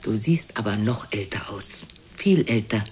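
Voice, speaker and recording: An older woman playing a frail, sharp-tongued, reproachful old mother in a German radio play, scolding theatrically and dropping into whispers. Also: very deep voice, lively intonation, unhurried, slightly rough, heavily acted. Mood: needling. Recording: noisy older radio recording.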